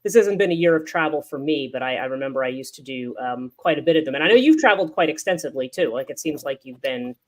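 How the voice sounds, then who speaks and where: A woman's voice: low-pitched, animated, fast talker, hardly any pauses. A woman moderating and hosting a video call, chatty and rambling, often restarting her sentences. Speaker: host and moderator.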